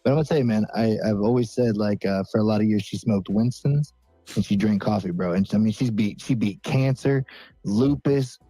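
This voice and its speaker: A man's voice: lowish voice, fairly quick, dark tone, no echo, faint music behind, low-quality recording. A man co-hosting a podcast in casual chat, slangy and calling everyone "bro". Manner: slangy, says "bro" constantly. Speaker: podcast co-host.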